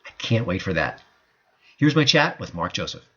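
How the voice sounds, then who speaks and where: A man hosting a podcast, giving emphatic, opinionated commentary in a lively way. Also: sits low, normal speed, a little hiss or hum. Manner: emphatic, lively delivery with frequent "frankly". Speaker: podcast host. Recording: dull lo-fi podcast audio.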